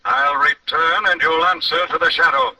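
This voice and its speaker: An actor playing a mysterious, mocking phantom avenger in an old radio mystery, theatrical and taunting. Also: male voice, on the high side, sing-song, normal speed, vocal fry, bright, forceful tone, heavily acted. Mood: accusing, stern. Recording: vintage radio recording, slightly distant.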